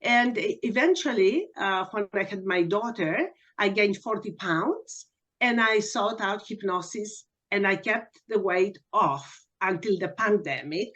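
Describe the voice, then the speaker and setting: A woman's voice: medium pitch, measured pace, a bit bright. Muffled older woman from greece. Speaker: guest hypnotherapist. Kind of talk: podcast interview storytelling. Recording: muffled lo-fi remote audio.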